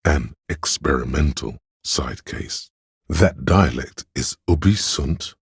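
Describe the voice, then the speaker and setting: A man voicing a pretentious, intellectual inner voice of artistic imagination in a video game, narrating in a theatrical, ponderous way with a gravelly, husky tone. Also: rumbling low, expressive, slow pace, no echo, studio quality, exaggerated voice. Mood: mysterious, searching. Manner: theatrical, ponderous, pompous art-critic phrasing. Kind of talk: scripted second-person game narration.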